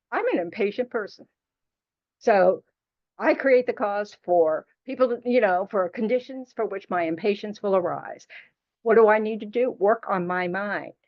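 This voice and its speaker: A woman teaching Buddhist practice to a small class in a chatty, frank way, often teasing her students and saying "you know". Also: medium pitch. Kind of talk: casual dharma teaching to a class. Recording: dull lo-fi class recording.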